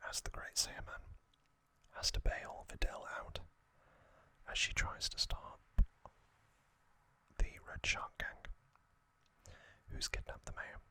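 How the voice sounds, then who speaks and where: A man whispering as an ASMR host, recounting trivia in a soft, rambling way with frequent pauses and ums. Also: rough recording. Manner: rambling, personal recollections.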